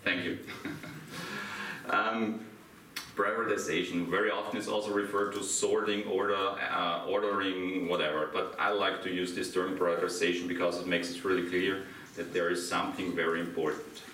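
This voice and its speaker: A man's voice: low voice, level tone, moderate pace, bright, forceful tone. A middle-aged man from Austria with a German accent, giving an interactive conference talk and questioning his audience. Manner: asks the audience questions, laughs lightly. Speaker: conference speaker. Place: dim hotel conference room, small audience. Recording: echoey room from far off, faint hiss.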